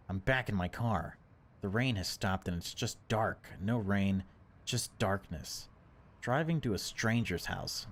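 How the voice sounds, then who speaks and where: Low deep guy doing a dramatic read of a creepy visual novel, hushed storyteller vibe. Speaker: let's-play narrator.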